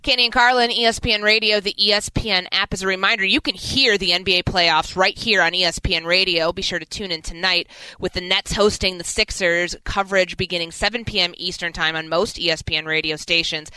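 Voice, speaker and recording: A woman's voice: lowish voice, steady tone, brisk, bright, forceful tone, smooth, no room sound, rough recording. A woman hosting sports talk radio, giving fluent, assertive commentary.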